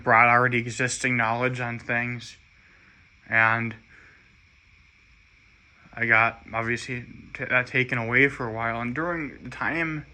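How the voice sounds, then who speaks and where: American guy rambling about himself, really sharp bright voice, earnest and aggrieved, kinda halting. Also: mid-range voice. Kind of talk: personal monologue to camera.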